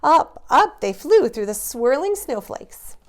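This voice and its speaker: A woman reading a storybook aloud to young children in an expressive storytelling manner. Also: high voice, slow.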